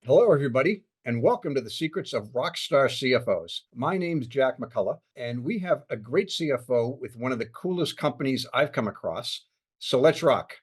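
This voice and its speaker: A man's voice: mid-range voice, expressive, normal speed, husky. A man hosting a podcast, chatting casually as he interviews a guest. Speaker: podcast host.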